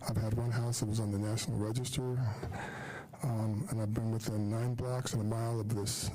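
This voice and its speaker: An older man with a soft voice speaking off the cuff at a city council meeting, halting, with ums. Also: lowish voice, level tone, rough recording. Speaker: public commenter.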